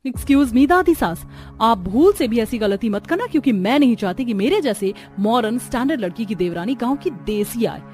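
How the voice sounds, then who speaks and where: A woman's voice: on the high side, animated, moderate pace, darkish tone, faint music behind, close to an everyday voice. A woman voicing a snobbish young modern daughter-in-law in a cartoon, sassy and peppering her Hindi with English. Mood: indignant.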